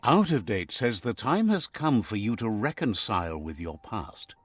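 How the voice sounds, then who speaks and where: A man narrating a video game as a calm storyteller who relays what the creatures say. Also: fairly low pitch, moderate pace, no room sound. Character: grown male storybook narrator, calm and wise.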